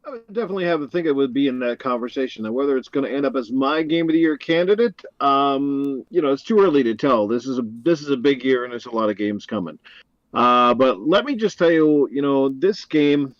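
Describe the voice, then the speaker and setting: A man's voice: mid-range voice, lively intonation, fairly quick, dry recording, highs cut off. A man appearing as a guest on a gaming podcast, enthusiastic and rambling with many fillers. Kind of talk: casual gaming podcast chat.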